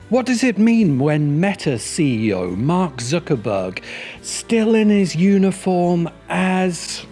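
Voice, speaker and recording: Sardonic male video essayist, very animated delivery. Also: medium pitch. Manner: sardonic, theatrical emphasis. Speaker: host and narrator of video essays. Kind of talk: scripted video essay narration.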